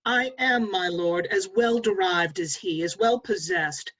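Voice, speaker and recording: A woman's voice: fairly low pitch, unhurried, husky. A woman playing a proud, defiant young male lover, reading Shakespearean verse assertively. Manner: animated, assertive. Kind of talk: Shakespearean verse table read. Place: remote video call reading. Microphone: video call.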